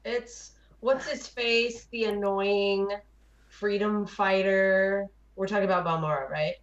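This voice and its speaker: A woman's voice: medium pitch, fairly even intonation, slow. A woman co-hosting a gaming podcast, chatting casually in a questioning, unsure way. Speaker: podcast co-host discussing a video game. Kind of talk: casual chat. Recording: echoey, distant, slightly dull mic.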